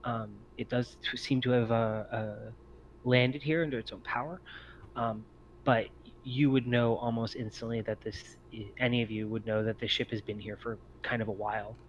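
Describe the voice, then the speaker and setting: A man acting as game master in an online tabletop game, narrating scenes in a halting, improvised way. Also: mid-range voice, steady tone. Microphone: online voice call. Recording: muffled, noisy online voice chat.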